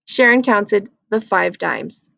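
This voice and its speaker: A woman's voice: mid-range voice, expressive, slow, vocal fry, fairly bright tone, no echo, lo-fi sound. A woman reading a children's book aloud as a storyteller.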